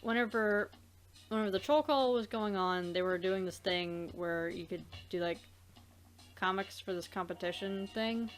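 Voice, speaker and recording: A woman's voice: mid-range voice, moderate pace. Rambling woman chatting over game music. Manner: rambling, loses her thread. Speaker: let's play host and amateur voice dubber. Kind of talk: casual rambling gameplay chat.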